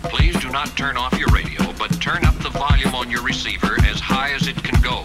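Over loud music, a man plays a formal emergency broadcast announcer. His voice sits at a middle pitch as he gives careful instructions. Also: brisk, close to an everyday voice.

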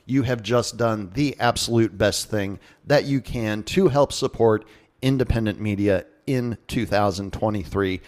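A man's voice: fairly low pitch, unhurried, a little mellow. A man hosting a podcast in a casual, jokey radio-show style with informal asides. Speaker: podcast host.

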